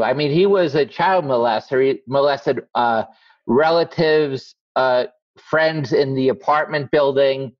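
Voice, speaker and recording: A man's voice: mid-range voice, dry recording. Wry, blunt man telling stories. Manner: wry, blunt, acts out quoted lines. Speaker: guest author. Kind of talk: candid conversational storytelling. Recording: slightly muffled dry recording.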